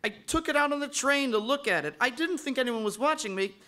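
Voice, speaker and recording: A desperate accused man in an old-time radio mystery. His voice is very high and piercing, swinging widely as he pleads that he is innocent. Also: lively intonation, fairly quick, bright, forceful tone, full character voice. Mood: desperate, pleading. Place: live stage performance at a convention.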